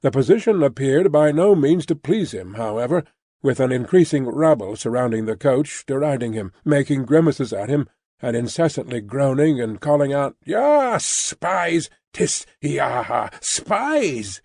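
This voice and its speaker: A man reading an audiobook as scripted literary narration, in a measured, formal storytelling manner. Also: medium pitch, dark tone, no room sound, studio quality.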